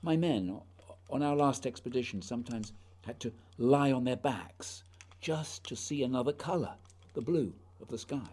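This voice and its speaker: An actor playing an earnest, haunted polar explorer in a radio play, speaking lyrically and deliberately in a husky voice. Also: male voice, medium pitch, lively intonation, a little mellow, lightly acted. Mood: rapt, lyrical. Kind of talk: poetic radio drama acting.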